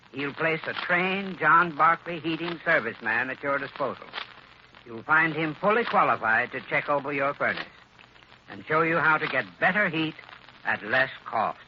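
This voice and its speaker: Casting: man as vintage radio sponsor expert, measured advice delivery, muffled noisy broadcast sound. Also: fairly high pitch. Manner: measured, instructive. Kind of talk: 1930s-40s scripted radio advertising talk.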